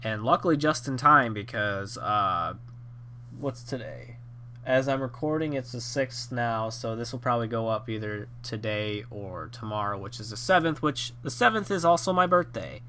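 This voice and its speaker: A young man of twenty tells a story about his own life, drifting between asides and fillers. His voice is bright and a touch nasal and hoarse from a lingering cold. He sounds relaxed and unscripted. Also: mid-range voice, moderate pace, slight background noise. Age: 20s. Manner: rambling with many fillers and restarts. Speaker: YouTube storyteller. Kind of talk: rambling casual storytime.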